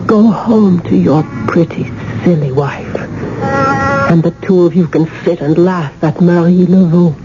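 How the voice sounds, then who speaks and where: An actress playing a proud, menacing voodoo woman in a radio drama, speaking in an imperious, deliberate, dramatic way. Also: lowish voice, music in the background. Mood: scornful, threatening. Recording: dull, noisy old radio broadcast.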